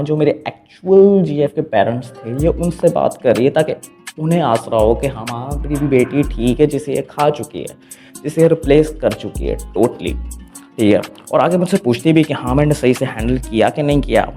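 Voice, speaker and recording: An Indian man tells a story recap in a casual, colloquial way, mixing in English phrases as he goes. His voice sits at an ordinary male pitch. Music plays underneath the voice-over. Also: a little mellow. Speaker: manga recap narrator. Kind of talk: casual first-person story recap with jokes.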